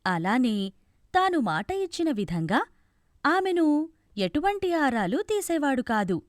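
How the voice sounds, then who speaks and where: A woman's voice: sits high, very animated, takes it slowly, dry recording, clean studio sound. A woman narrating a scripted fairy tale as a storyteller.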